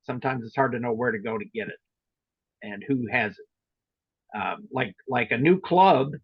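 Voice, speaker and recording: A man's voice: mid-range voice, lively intonation, normal speed, husky. A man leading an informal meeting, explaining things in a hesitant, rambling way. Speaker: meeting leader and trainer of volunteer club officers. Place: online video meeting. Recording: roomy, muffled online meeting audio.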